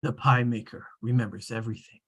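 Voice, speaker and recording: Casting: omniscient storybook narrator played by a man, husky, measured, precise and droll, table-read narration. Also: medium pitch, fairly even intonation, fairly bright tone.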